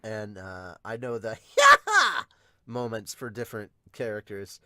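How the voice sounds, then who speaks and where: A man's voice: fairly low pitch, fairly even intonation, normal speed, clear, resonant tone, no room sound. A man hosting a casual reaction podcast, explaining things emphatically and swearing casually. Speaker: podcast host. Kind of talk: casual chat, manga reaction.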